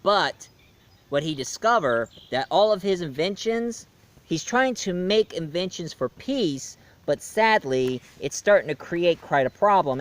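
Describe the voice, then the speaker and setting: A man giving a casual spoken film review, chatty and jokey as he retells the plot. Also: on the high side.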